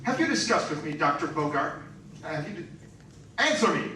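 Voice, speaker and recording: A man's voice: a little high, very animated, normal speed, sharp tone. A man acting as a pompous, demanding, indignant scientist in a radio drama, stammering at times. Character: blustering, indignant male robot scientist, authoritative boss. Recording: echoey, distant, faint noise.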